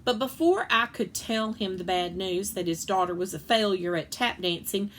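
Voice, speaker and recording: A woman reading a children's book aloud as a storyteller, expressive and acting out the dialogue. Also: mid-range voice, normal speed, a little hiss or hum.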